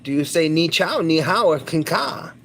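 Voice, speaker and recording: A man's voice: fairly high pitch, expressive, normal speed, a bit bright, slight background noise. A man chatting casually to his viewers on a livestream, rambling, boastful and crude. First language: English.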